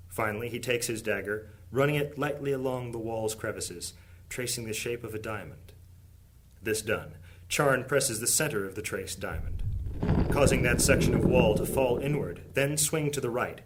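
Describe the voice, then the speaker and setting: A man narrating an audio drama in a steady, descriptive way. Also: mid-range voice. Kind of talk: scripted narration.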